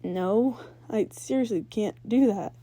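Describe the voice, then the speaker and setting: A woman voicing an earnest, unsure teenage boy hero in a read-aloud story, speaking in a hesitant, halting way. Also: medium pitch, warm tone, close to an everyday voice. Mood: doubtful.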